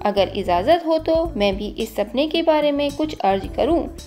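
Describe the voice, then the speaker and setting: A woman's voice: sits high, lively intonation, measured pace, dark tone, faint music behind, pitched above the performer's own voice. A woman voicing a clever, courteous royal courtier, a man who advises with formal deference, in a storybook tale. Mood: respectful, reassuring. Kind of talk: storybook character dialogue.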